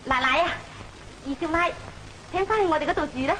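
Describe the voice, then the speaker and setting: An actress playing a scheming, effusively sweet daughter-in-law in an old Hong Kong Cantonese opera film, part spoken and part sung. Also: female voice, very high voice, fast talker, a bit bright, slight background noise, poor audio. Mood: coaxing. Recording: old film soundtrack with music.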